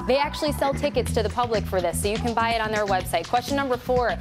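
An American woman with a fairly high voice hosts a studio trivia game. She is chatty and emphatic, moving between scripted questions and personal asides. Music plays clearly behind her. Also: lively intonation, quick pace. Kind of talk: live TV quiz hosting, partly scripted. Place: TV news studio. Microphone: broadcast studio microphone. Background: clear music bed.

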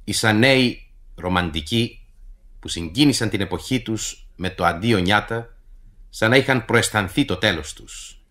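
A man reading a scripted introduction to a radio play in a formal, measured literary manner. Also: fairly low pitch, a bit bright. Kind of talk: scripted narration for radio theatre.

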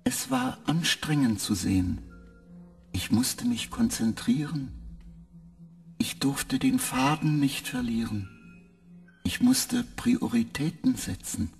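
An actor playing a weary male spaceship computer that answers in halting, clipped, literal sentences. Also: mid-range voice, huge pitch swings, creaky, darkish tone, soft background music, full character voice. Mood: flat.

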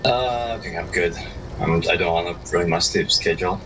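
A young man with a very deep voice chatting during a co-op game. He keeps his answers short and easygoing. Faint background noise hums beneath him. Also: moderate pace. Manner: laid-back, brief replies. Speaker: co-op player guest. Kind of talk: casual gameplay chat.

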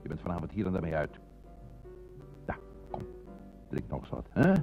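A man's voice: low-pitched, wildly swooping pitch, normal speed, vocal fry, soft background music, full character voice. A man playing a bossy, insistent character, brusque yet coaxing, in a Dutch radio play. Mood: brusque, soothing. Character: bossy, insistent man pressuring a nervous friend. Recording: dull old radio play recording.

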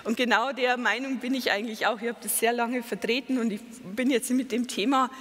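A middle-aged Bavarian woman speaks at a conference with a fairly high voice, earnest and explanatory, often pausing on fillers and correcting herself mid-phrase. Her voice rings with a long hall reverb. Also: steady tone, fairly bright tone. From Lower Bavaria, Germany. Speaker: patient advocate speaker. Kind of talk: conference talk with slides.

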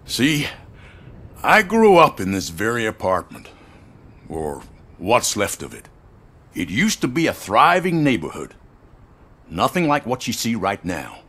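An older man playing a frail, courtly, calculating corporate chief in a video game, measured and polite. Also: medium pitch, fairly bright tone, slightly rough, heavily acted. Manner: measured, polite, paternal with veiled menace. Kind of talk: cinematic video game acting.

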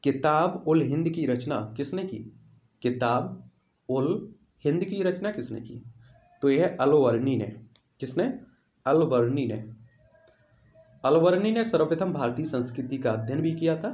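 A male teacher with a fairly high voice walks through quiz questions for exam students. He repeats questions and answers to drive them home. The recording has a strong room echo. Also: expressive, measured pace, long pauses, a little mellow. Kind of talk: instructional quiz walkthrough. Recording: echoey room sound.

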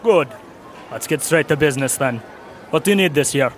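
A man playing a gruff, businesslike medieval toolmaker in an audio drama, blunt and stern as he haggles. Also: a little high, animated, a little fast, slight background noise, lightly acted. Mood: impatient.

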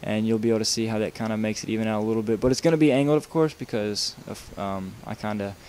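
A young man with a somewhat low voice narrates a game-building tutorial over footage. He talks loosely and casually, trailing off, restarting sentences and padding with fillers. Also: fairly even intonation, fast, a little mellow. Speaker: video game tutorial maker. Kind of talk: rambling tutorial voice-over while playing.

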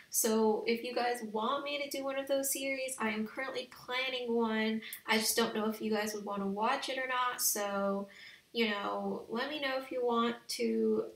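A young woman giving casual, slangy let's play commentary on a video game in an excitable, gushing way. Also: a little high, roomy sound, recorded from a distance. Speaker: video game streamer and channel host.

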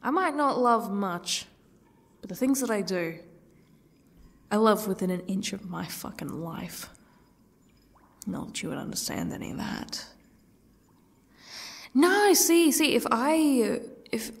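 Husky, creaky defiant captive woman, acted by a woman. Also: mid-range voice, heavily acted. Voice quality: husky, often dropping into whispers. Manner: mocking, halting, drawn-out taunts. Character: defiant captive woman, bitterly sarcastic, taunting her torturer. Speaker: audio roleplay performer. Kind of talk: ASMR roleplay monologue acting.

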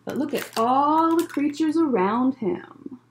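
A young American woman, a children's librarian, reading a picture book aloud to children with expressive, deliberate phrasing and short asides. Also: high voice, slight background noise, low-quality recording. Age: young adult. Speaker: children's librarian hosting story time. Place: indoor library room lined with bookshelves. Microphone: webcam at desk distance.

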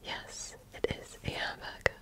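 A woman whispering breathily in a gentle, deliberate, patient way, as an examiner in an ASMR roleplay. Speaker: ASMR performer playing a medical examiner. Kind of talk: ASMR roleplay, soft-spoken personal attention.

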